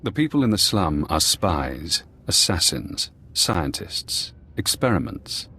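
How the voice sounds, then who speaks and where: Deep-voiced, coldly calculating ex-spy. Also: male voice, very animated, creaky, pushed and bright, husky, exaggerated voice. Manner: measured, cool, cryptic instructions. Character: paranoid, calculating imprisoned former spy analyst, adult man. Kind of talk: acted video game dialogue.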